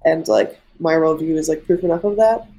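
A woman's voice: sits low, a little fast, mellow, lo-fi sound. Young woman rambling with "like" fillers. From mixed Egyptian and Irish family. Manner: rambling, heavy use of "like" fillers. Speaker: artist guest. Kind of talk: casual conversational interview.

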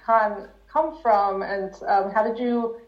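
A woman's voice: mid-range voice, fairly even intonation, slow pace, echoey room, muffled recording. A woman hosting a podcast interview, asking encouraging questions with a few fillers. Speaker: podcast host.

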